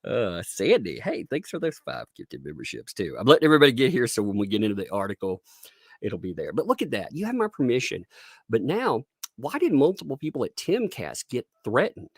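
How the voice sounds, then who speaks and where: A man with a deep voice streaming casual commentary from home, profane and sarcastic, laughing often and thanking his chat. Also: huge pitch swings, a little fast, husky, no echo, studio quality.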